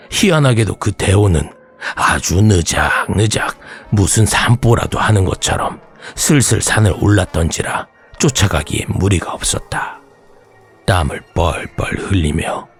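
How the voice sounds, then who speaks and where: Deep-voiced man narrating horror stories. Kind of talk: scripted narration of horror tales, storytelling. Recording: music bed behind voice. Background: background music.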